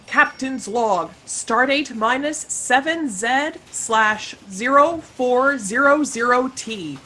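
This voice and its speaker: A woman voicing a talking spaceship with formal, measured, precise speech and a playful streak, in a sci-fi audio drama. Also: high voice, lively intonation, pushed and bright, heavily acted. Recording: noisy home video-call audio.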